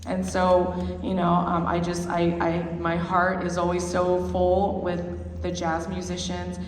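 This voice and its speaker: Slightly hoarse woman band director greeting a concert crowd, chatty, in a reverberant pavilion with faint ambience. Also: on the low side, monotone, takes it slowly, slight background noise. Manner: chatty, with many fillers and restarts. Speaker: high school band director. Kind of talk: concert introduction to an audience. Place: large performance pavilion. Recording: echoey hall, far from the mic.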